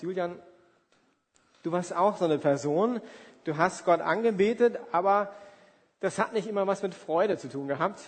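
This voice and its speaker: A man in his forties preaching in a conversational, emphatic way, with everyday examples and rhetorical questions. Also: sits high. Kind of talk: sermon with everyday examples and acted-out asides. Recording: reverberant hall.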